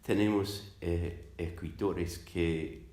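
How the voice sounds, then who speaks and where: A man from the United States whose native language is English gives considered interview answers. His voice sits very low. He searches for words as he explains, and the room adds an audible echo. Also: fairly even intonation, drawn-out words, warm tone. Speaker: interviewed academic, literature scholar. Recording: roomy, echoing sound.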